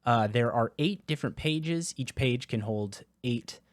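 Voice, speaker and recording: A man casually walking through software settings in a product review, talking into a close dynamic USB microphone. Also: medium pitch, unhurried, no echo. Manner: casual, explanatory, with filler words. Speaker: tech reviewer.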